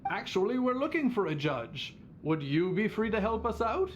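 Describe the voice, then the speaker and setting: A man's voice: high voice, very animated, normal speed, highs cut off, slight background noise. A man voicing a polite, eager and slightly nervous young bartender in an anime game, courteous and hesitant.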